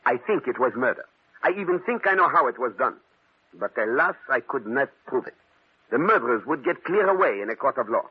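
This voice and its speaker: A courteous, shrewd detective speaks with a French accent in formal, hesitant phrases, his pitch swinging expressively. The voice is husky, heard through a narrow, muffled old radio broadcast. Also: animated, fairly quick. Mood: shrewd, assured. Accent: French-speaking European. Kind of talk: old-time radio drama acting.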